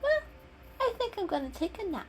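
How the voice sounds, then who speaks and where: A woman's voice: extremely high pitch, very animated, a little fast, faint music behind, voice pushed up. A woman voicing a grumpy, skeptical female cat in a storybook, curt and snippy. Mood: grudging, skeptical.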